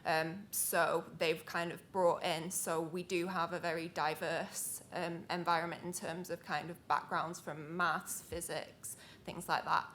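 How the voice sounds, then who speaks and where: An academic woman introduces her research work to a panel audience. She has a lowish voice and a measured, explanatory manner, and she leans on "kind of" as she speaks. A faint rumble hums under the recording. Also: level tone, fairly bright tone.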